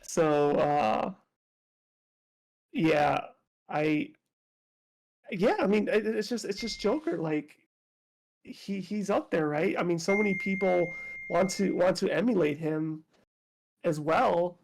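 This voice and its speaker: A man's voice: high voice, slow pace, creaky, pauses often, slight background noise. A man co-hosting a casual podcast chat, hesitant and rambling, with lots of fillers. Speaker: podcast co-host.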